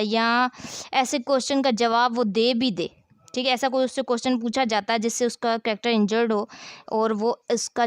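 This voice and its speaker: A woman's voice: on the high side, no room sound. A woman gives a law lesson. She explains in a didactic, step-by-step way, repeating herself and posing questions as she goes. The sound is close and dry, with very little room. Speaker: law lecturer. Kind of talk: explanatory law lesson, reading statute articles then explaining them.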